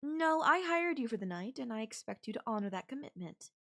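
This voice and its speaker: A woman's voice: a little high, big pitch swings, fairly quick, bright, forceful tone, heavily acted. A woman playing a controlling, wealthy CEO in an audio roleplay, speaking in an imperious, formal and coldly condescending manner. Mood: disdainful, commanding. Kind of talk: ASMR audio roleplay acting.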